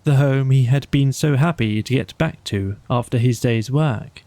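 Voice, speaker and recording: A man narrating a bedtime storybook in a measured, soothing way, with deliberate phrasing. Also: medium pitch, warm tone, no room sound. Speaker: audiobook narrator.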